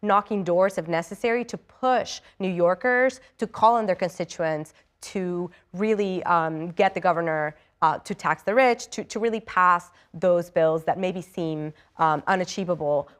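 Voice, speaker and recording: A woman's voice: on the low side, unhurried, hardly any pauses. A young woman from Ecuador, a newly elected assemblymember, answering questions in an earnest, eager way with many fillers and restarts. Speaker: state assemblymember, interview guest. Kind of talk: live TV news interview.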